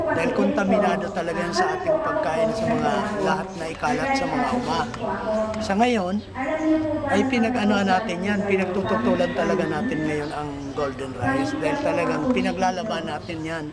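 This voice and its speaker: A woman's voice: on the low side, lively intonation, normal speed. A woman giving plain-spoken, insistent testimony as a farmer, repeating her points. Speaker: farmer and rice breeder, interviewee. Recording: echoey space with loud crowd noise.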